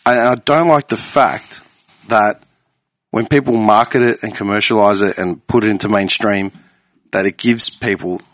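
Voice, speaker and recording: A man's voice: on the low side, steady tone, moderate pace, a bit bright, no echo. Man, late 30s, emphatic, profane, dry close sound. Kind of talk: casual podcast chat.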